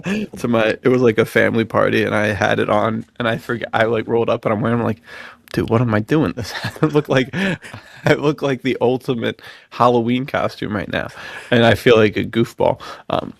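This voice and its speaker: Creaky-voiced man, slangy podcast chat. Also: medium pitch, moderate pace, no room sound. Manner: slangy, excitable bro talk. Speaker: podcast co-host. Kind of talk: casual, enthusiastic chat between friends.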